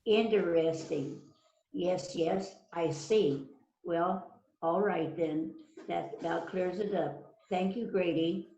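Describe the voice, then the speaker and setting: Woman reading a police detective in amateur play, slow n deliberate, roomy muffled audio w some noise. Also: lowish voice, mellow. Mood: self-assured. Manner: halting, deliberate script reading with stumbles. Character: police detective, probing, self-assured, methodical. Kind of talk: amateur readers theater, read from script. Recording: roomy echo, dull muffled sound, noisy.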